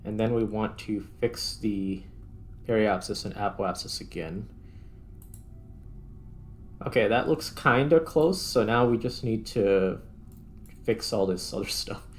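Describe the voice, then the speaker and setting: A man's voice: on the low side, measured pace, pauses often, a little hiss or hum. A man giving casual gameplay commentary, thinking aloud as he plays. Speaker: gaming video host.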